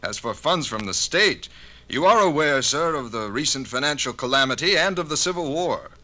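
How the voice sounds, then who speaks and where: An actor playing a formal, beleaguered 19th-century university regent in a declamatory 1950s radio docudrama style. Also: male voice, mid-range voice, expressive, normal speed, bright, forceful tone. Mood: grave, pleading. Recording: noisy old 1950s radio recording.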